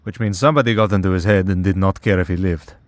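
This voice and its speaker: Man acting a deep-voiced, wry vampire priest. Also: steady tone, fast talker, dry recording, close to an everyday voice. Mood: musing, sober. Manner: sardonic, bantering, conversational. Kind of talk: ASMR roleplay acting.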